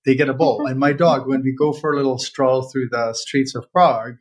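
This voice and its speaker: A man, hosting an interview in earnest, halting casual talk full of fillers and restarts. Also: medium pitch, steady tone. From Czech Republic. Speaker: veterinarian podcast host.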